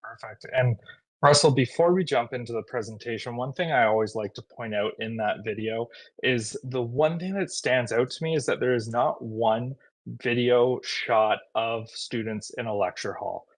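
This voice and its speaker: A man's voice: medium pitch, moderate pace, no echo, poor audio. Upbeat guy hosting a college webinar, lots of uhs and ums, dry close video call sound. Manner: friendly, filler-heavy, upbeat. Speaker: webinar host, college recruitment manager. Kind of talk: casual webinar introduction.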